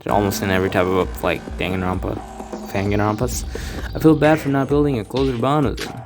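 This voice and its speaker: A man doing casual game commentary in a rambling, halting way, often repeating himself. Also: lowish voice, steady tone. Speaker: let's-play streamer. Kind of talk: casual game commentary, reading dialogue aloud. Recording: music behind the voice. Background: game music.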